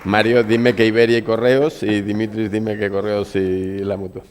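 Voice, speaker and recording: A man with a slightly low voice moderates a conference panel. He keeps things moving with short prompts and quick acknowledgments. He passes the floor from one speaker to the next. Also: level tone, a little hiss or hum. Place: conference venue.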